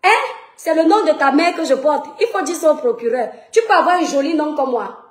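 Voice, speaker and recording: A woman with a Cameroonian French accent and a high voice, ranting at her critics. She talks in a mocking, confrontational way and keeps firing rhetorical questions. Her voice echoes around the room. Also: expressive, fairly quick. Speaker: social media personality. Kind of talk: heated livestream rant to followers. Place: France.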